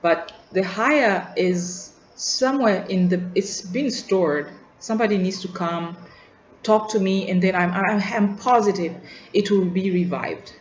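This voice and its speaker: A woman's voice: mid-range voice, a little slow. A young woman from Tanzania with a Tanzanian English accent, thinking aloud in an unscripted vlog, hesitant and full of restarts and trailing asides. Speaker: student vlogger. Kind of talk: reflective unscripted monologue. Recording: roomy, dull lo-fi sound with faint hiss.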